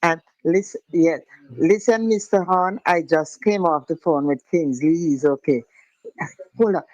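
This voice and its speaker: A woman's voice: on the low side, unhurried, a little mellow, dry recording, muffled recording. A woman phoning a radio show, chatting in a casual, familiar way. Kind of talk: casual phone-in chat. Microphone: phone call.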